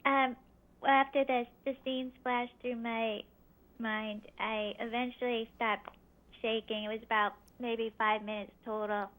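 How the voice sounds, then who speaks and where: A woman's voice: on the high side, little pitch movement, unhurried, a bit bright, poor audio. A woman as an interview guest, recounting a personal experience in brief, plain answers.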